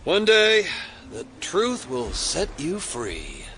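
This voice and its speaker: A man's voice: fairly high pitch, huge pitch swings, slow pace, fairly bright tone, slight background noise, full character voice. An actor playing a bitter, world-weary, cynical man with a sardonic manner in an audio drama. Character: bitter, cynical, lonely man, brooding schemer.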